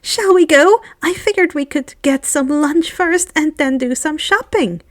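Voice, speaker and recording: A woman's voice: sits high, measured pace, no echo, clean studio sound, pitched above the performer's own voice. A woman voicing an awkward, smitten teenage boy in a storybook reading, eager and earnest, often hesitant and stammering. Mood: eager, shy. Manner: hesitant, stammering, breathless with awe.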